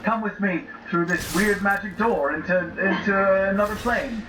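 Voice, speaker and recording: A man's voice: high-pitched, moderate pace. A man improvising in character as a player in a tabletop role-playing game. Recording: roomy distant mics with noisy background audio.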